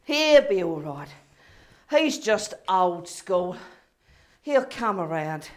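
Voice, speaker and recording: An actress in a comedy play, voicing a brisk, bossy director from heaven with an emphatic, theatrical manner. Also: female voice, fairly low pitch, sing-song, a little mellow. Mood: matter-of-fact, brisk. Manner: emphatic, theatrical, repeats words for effect. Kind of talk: comedy stage play acting.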